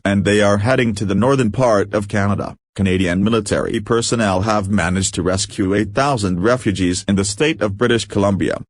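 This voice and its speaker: A man's voice: on the low side, dry recording. A man reading a scripted emergency announcement in a formal, impersonal manner.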